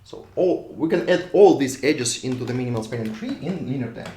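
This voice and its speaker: A Russian-accented man lectures at a board in a university classroom, repeating and restarting his words as he thinks aloud. His voice sits at a middling pitch and rings in a roomy hall, now and then drifting away from the mic. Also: animated, brisk, dark tone, a little hiss or hum. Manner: repetitive restarts, thinks aloud while drawing. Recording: echoey room, voice drifts off mic.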